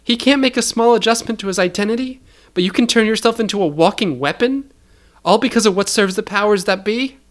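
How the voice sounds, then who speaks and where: A man's voice: sits high, fairly quick, pitched above the performer's own voice, natural voice. A man voicing a grieving, defiant young girl in an audio story, pleading and indignant. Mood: indignant grief. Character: desperate, grieving, defiant teenage girl rebel.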